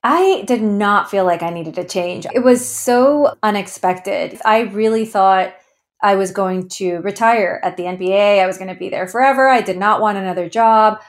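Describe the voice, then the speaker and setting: A woman's voice: mid-range voice, moderate pace. A woman in her thirties, originally from Ecuador, telling her founder story earnestly in an interview and laughing now and then. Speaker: founder guest. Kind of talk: interview conversation.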